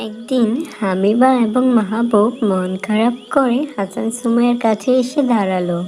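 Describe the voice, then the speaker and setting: A woman reading scripted narration for an animated children's moral story. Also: a little high, moderate pace, soft background music, natural voice. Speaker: narrator and character voice.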